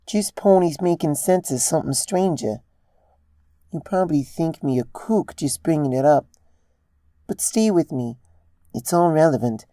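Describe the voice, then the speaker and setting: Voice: medium pitch, warm tone, no room sound, studio quality, voice pushed up. A narrator voicing an adult female pony, a mother who confides in a hesitant, earnest way, in a story reading.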